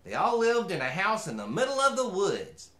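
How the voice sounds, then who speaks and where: Man as a chatty male mule, bright sharp voice, folksy storybook host, exclaiming, roomy echo. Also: high voice, huge pitch swings, moderate pace, full character voice. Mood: chatty, eager. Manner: folksy, exclaiming, disapproving asides. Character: chatty, opinionated male mule who loves stories. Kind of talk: animated storybook reading with comic commentary.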